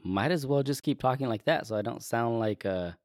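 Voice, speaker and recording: A man's voice: medium pitch, fairly quick, a little mellow, dry recording, studio quality. Laughing man co-hosting a Bible podcast. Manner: laughs often, self-deprecating banter. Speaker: podcast co-host. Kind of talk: casual chat about the Bible. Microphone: close podcast microphone.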